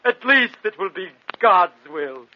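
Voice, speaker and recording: An actor playing an anguished, haunted husband in a gothic radio drama, with fervent, theatrical, pleading delivery. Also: male voice, sits high, sing-song, measured pace, a little hiss or hum. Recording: dull lo-fi radio recording.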